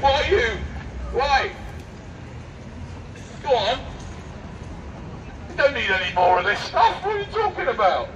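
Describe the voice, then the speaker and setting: A middle-aged British man arguing in a public street debate, mocking and provocative, firing rhetorical questions through a megaphone. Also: sits high. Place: busy outdoor city street. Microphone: megaphone picked up by a distant camera mic. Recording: megaphone outdoors, distant mic, street noise.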